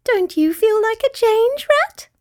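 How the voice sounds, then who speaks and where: Woman doing a tiny girl butterfly, tinkly, very high, sing-song swoops, carefree, storybook. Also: expressive, takes it slowly, a little mellow, exaggerated voice. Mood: happy, chatty. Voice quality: tinkly, twinkly. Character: tiny, cheerful, carefree young female butterfly. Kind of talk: children's storybook character voice.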